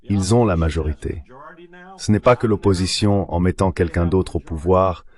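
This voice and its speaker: A man's voice: sits low, normal speed, darkish tone. A man's synthetic dubbing voice delivering translated political commentary in a discussion.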